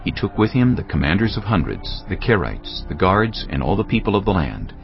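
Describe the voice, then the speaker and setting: Very deep, gravelly male narrator. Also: lo-fi sound, faint music behind. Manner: steady, measured reading. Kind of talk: scripted Bible narration.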